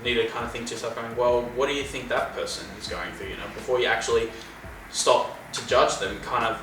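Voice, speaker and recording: Man, eager interview host, mid pitch, distant mic, strong room echo. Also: steady tone, a little fast, fairly bright tone, a little hiss or hum. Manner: enthusiastic, keeps saying "incredible". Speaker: podcast host. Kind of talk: casual interview chat. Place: room in a home.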